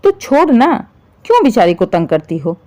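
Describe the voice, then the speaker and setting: Woman voicing a gentle, pleading older man, mellow tone. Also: on the high side, very animated, moderate pace. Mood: placating. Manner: placating, reasoning. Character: patient, pleading father-in-law, older man, gentle peacemaker.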